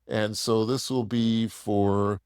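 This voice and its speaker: A man's voice: lowish voice, flat delivery, takes it slowly, a little mellow, clean tone, dry recording, studio quality. A man presenting a casual product demo in a plain-spoken, explanatory, conversational way.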